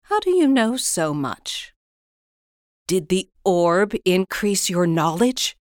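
A woman's voice: fairly low pitch, very animated, takes it slowly, dry recording, studio quality. A woman playing an easily flustered, devoted scientist in an audio storybook drama. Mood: puzzled.

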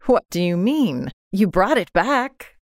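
A woman's voice: medium pitch, big pitch swings, slow, no echo. Storybook role: well-bred society lady, polite and reserved with emphatic phrasing female reader performing, dry sound. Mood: shocked, pitying. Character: genteel, well-off lady, reserved yet sympathetic.

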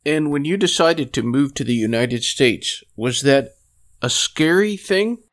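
A man's voice: mid-range voice, expressive, a little slow. A male interviewer with a mid-range voice puts short, friendly questions to his guest. He laughs easily along with the answers.

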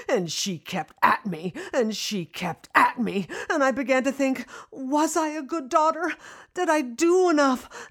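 A woman's voice: high-pitched, a bit bright, heavily acted. A woman playing a grieving, self-doubting daughter, speaking hesitantly and confessionally in a first-person audio drama. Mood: anguished, tearful. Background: original music.

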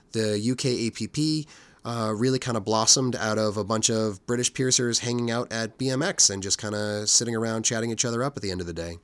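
Hoarse man hosting a podcast. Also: fairly low pitch, dry recording. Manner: relaxed, rambling, many fillers. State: hoarse from a cold. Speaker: podcast host. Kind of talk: casual podcast talk.

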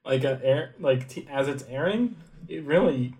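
A man's voice: mid-range voice, lively intonation, normal speed, a little mellow, rough recording. A man co-hosting a podcast, chatting casually with dry jokes and frequent laughter. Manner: dry, joking, laughs often. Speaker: podcast co-host. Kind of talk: casual chat.

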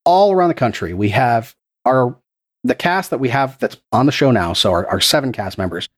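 A man's voice: medium pitch, animated, moderate pace. A man who produces a podcast and runs its game, answering interview questions in an earnest, explanatory way with a few restarts, speaking into a podcast microphone. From Texas.

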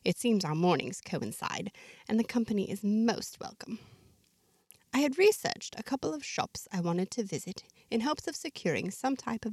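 A woman's voice: medium pitch, gravelly, a little mellow, slightly rough, no room sound, studio quality. A woman narrating a serialized fantasy story in the first person, in a chatty, diary-like way with asides to the listener. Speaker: narrator of a serialized fiction podcast.

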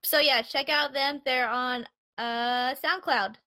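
A woman's voice: high voice, steady tone, slow pace, pushed and bright, a little hiss or hum. A woman co-hosting a podcast in casual chat, bubbly and chatty, laughing easily while plugging friends' work. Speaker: podcast co-host.

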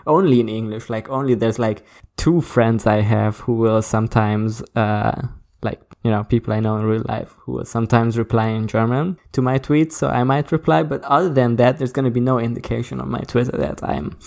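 A woman co-hosting a podcast, her first language Hungarian, with a gravelly, fry-touched voice. She rambles along in casual chat, restarting her sentences and leaning on fillers. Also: rumbling low, steady tone, brisk, hardly any pauses, a little mellow. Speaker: podcast co-host.

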